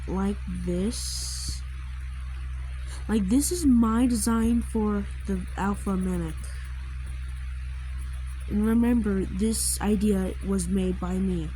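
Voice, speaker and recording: A woman giving casual commentary while drawing, rambling and halting as the creator explains a creature design, with trailing asides. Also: mid-range voice, warm tone, slight background noise, poor audio.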